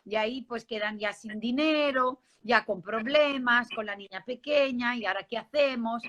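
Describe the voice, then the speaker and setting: A woman with a Barcelona Spanish accent tells her own story in a high, very bright voice. She speaks informally, like an interview guest, often checking that the listener follows. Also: moderate pace. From Spain, Barcelona. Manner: conversational, seeks agreement with tag questions.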